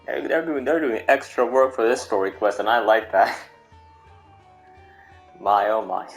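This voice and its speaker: A man's voice: lowish voice, measured pace, gravelly. A man doing casual game commentary, slangy and teasing, repeating phrases for emphasis as he reacts. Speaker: gaming streamer. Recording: commentary mixed with game music.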